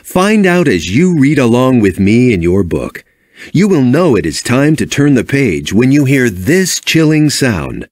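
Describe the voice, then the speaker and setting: Lively male storybook narrator with animated pitch. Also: measured pace. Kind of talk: scripted children's read-along narration.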